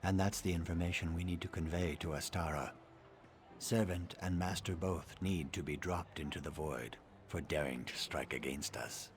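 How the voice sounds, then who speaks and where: An actor playing a grim, vengeful assassin in a fantasy game, speaking in a measured, menacing, formal way. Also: male voice, deep voice, slight background noise. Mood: bitter, vengeful. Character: grim vengeful male assassin of a dark brotherhood. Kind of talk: scripted fantasy game dialogue.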